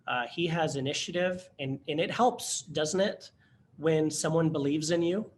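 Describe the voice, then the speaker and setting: A man's voice: fairly high pitch, measured pace. Earnest man presenting a webinar. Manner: earnest, conversational, many fillers and restarts. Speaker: workshop presenter. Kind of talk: webinar storytelling to instructors.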